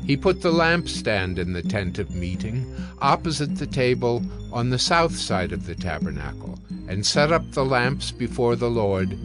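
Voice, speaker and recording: A man narrating scripture from a script in a solemn, deliberate storytelling manner. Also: medium pitch, sing-song. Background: background music.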